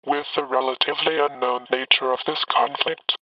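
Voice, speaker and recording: A man's voice: mid-range voice, little pitch movement, normal speed, no room sound, distant mic, close to an everyday voice. A synthetic man's voice playing a grave American president giving a formal, stilted address to the nation. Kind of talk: formal scripted political address. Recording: dull lo-fi radio-like broadcast.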